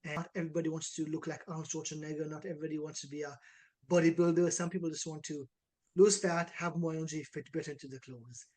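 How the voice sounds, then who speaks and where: A man's voice: on the high side, quick pace. A man in his twenties from Israel, an online fitness coach talking casually and energetically in an interview. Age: 20s. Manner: energetic, uses many "like" and "right" tags.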